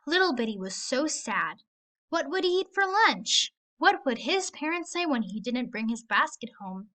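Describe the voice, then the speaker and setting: A woman narrating a children's story aloud in an expressive read-aloud style. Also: high voice, moderate pace. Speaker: storybook reader.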